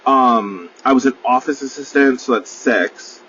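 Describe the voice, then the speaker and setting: A man's voice: medium pitch, a little slow, fairly bright tone, highs cut off, a little hiss or hum. A man, talking in a rambling, casual solo podcast monologue, full of fillers, swearing freely and poking fun at himself. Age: 20s. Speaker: podcast host.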